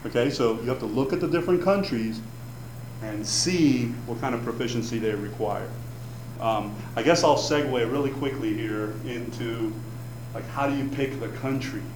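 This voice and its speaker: A man's voice: medium pitch, normal speed, darkish tone. Cuban-born guy, spanish native, rambling chatty info talk, echoey room with a little hum. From Cuba. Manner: chatty, rambling, many fillers and restarts. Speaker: advisor giving an info session. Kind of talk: informal talk to students. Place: room with an audience. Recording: echoey room, faint background noise.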